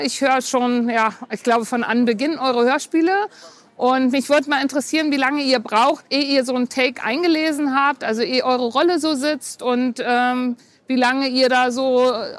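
A woman's voice: sits high, no room sound. A woman leaving a casual recorded message as a fan with a question.

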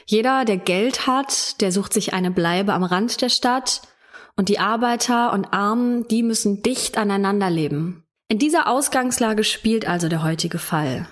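A woman's voice: medium pitch, measured pace. A female true crime podcast co-host who talks in a casual, chatty way and laughs easily.

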